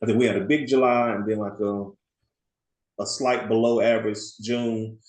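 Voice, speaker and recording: A man's voice: on the low side, level tone, moderate pace. Man, party rental owner; casual, rambling, laughs a lot; roomy sound. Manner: chatty, rambling, laughs often. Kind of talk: casual video call roundtable.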